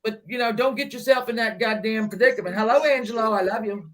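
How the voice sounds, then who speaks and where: Woman, fairly high pitch, casual livestream host, effusive, affectionate, brash, blunt. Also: fast. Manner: affectionate, effusive, terms of endearment. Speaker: live stream host. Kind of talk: casual group livestream chat.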